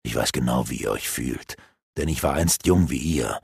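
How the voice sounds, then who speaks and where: Margok, a man doing a sneering dark elf. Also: lively intonation, moderate pace, vocal fry, husky, no echo, heavily acted. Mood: sneering, persuasive. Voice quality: low, hushed and sneering. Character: gloating sinister male dark elf sorcerer.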